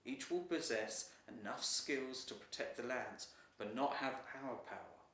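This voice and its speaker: A man's voice: medium pitch, measured pace, fairly bright tone, natural voice. A man voicing a loyal guardian lion in a storybook, speaking formally and with deference. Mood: solemn, deferential. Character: loyal, dutiful male guardian lion servant of emperor. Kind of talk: storybook character dialogue. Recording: roomy echo, distant mic.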